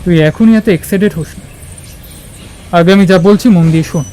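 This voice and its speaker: An actor playing a gentle, earnest young man who speaks carefully in an audio drama. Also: high voice, a little fast, a little hiss or hum, natural voice. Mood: hesitant, earnest. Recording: music under the voice.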